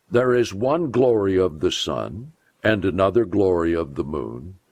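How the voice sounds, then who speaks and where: Male preacher for solemn scripture reading: low voice, widely varied intonation, deliberate and emphatic delivery. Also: a little mellow, dry recording.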